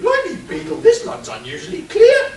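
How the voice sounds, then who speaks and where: A man's voice: extremely high pitch, lively intonation, moderate pace, creaky, darkish tone, exaggerated voice. A man playing a dim, imperious British titled lady with a haughty, exclamatory manner in a comic radio play. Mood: brisk, haughty. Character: titled upper-class woman, dim, imperious, breezily callous amateur sleuth. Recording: distant mic, roomy echo, faint hiss.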